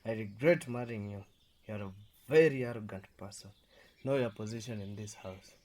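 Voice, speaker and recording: A man's voice: fairly low pitch, normal speed, a little mellow, close to an everyday voice. A man playing a domineering, defensive husband in a radio drama, scolding and commanding. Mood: furious, threatening.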